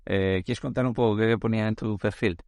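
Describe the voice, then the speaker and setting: A man hosting a casual podcast interview, talking in a loose, relaxed way and often restarting his phrases. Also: on the low side, fairly quick, no room sound. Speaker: podcast host.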